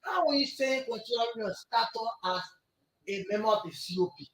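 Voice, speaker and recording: A man's voice: very high-pitched, lively intonation, brisk, fairly bright tone, reverberant, low-quality recording. A man from Liberia, a guest who argues politics insistently on a radio talk show and repeats himself to keep the floor. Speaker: studio guest, party executive. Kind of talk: heated political debate.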